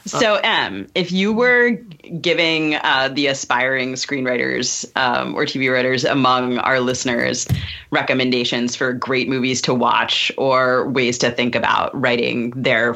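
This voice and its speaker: A woman's voice: rumbling low, a little slow, vocal fry, pushed and bright. A woman hosting a podcast, asking questions and chatting in a casual conversational style. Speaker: podcast host.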